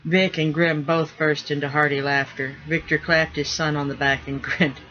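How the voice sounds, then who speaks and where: A man's voice: fairly high pitch, flat delivery, normal speed, sharp tone. A man reading fiction aloud as scripted narration, as an author would at a reading. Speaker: author reading own novel. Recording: dull lo-fi recording.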